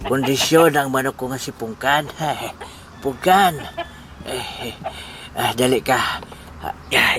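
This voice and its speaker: A man with a Western Visayan accent playing an excitable, bewildered cockfighter who dotes on his rooster, in a comedic audio drama, with exclamatory, stammering delivery. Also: mid-range voice, a little hiss or hum, natural voice. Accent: Filipino, Western Visayan.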